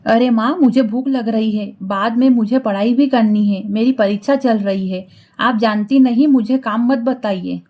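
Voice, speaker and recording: A woman as high, whiny spoiled young bird. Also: normal speed, a little mellow, close to an everyday voice. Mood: dismissive. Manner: whiny, dismissive. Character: spoiled, lazy, insolent young bird daughter. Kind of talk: animated moral story acting.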